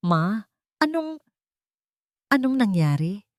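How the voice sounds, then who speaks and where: A woman's voice: mid-range voice, sing-song, slow pace, dark tone, no room sound, studio quality, voice pushed up. A woman narrator voicing a confused grown son who speaks hesitantly and questioningly in an audio love-story drama. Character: confused adult son, groom searching his lost memory.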